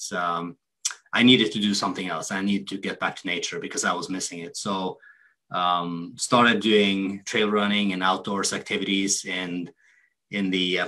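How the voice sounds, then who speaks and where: A man from Norway hosting a hunting webinar over a video call, speaking hesitantly with many pauses and fillers. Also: sits low, bright, forceful tone. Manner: hesitant, many ums and restarts. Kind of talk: casual video call introduction.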